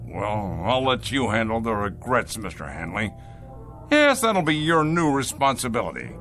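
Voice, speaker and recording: An actor in a radio drama playing a ruthless, sarcastic male factory boss, speaking in a mocking, deliberate way. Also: mid-range voice, sing-song, fairly bright tone, soft background music.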